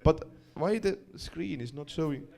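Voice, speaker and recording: A man's voice: fairly high pitch, animated, unhurried, gravelly, warm tone. A man hosting a live event, introducing the next speaker and sending the jury off with chatty, joking patter. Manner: chatty, jokes with the crowd, loose phrasing. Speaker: event host.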